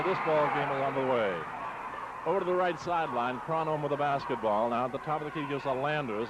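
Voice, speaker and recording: Very noisy 1960s AM radio recording, male basketball commentator, slightly high voice, clipped announcer delivery, courtside. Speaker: radio play-by-play sportscaster. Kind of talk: live basketball play-by-play commentary. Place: courtside in an exposition hall.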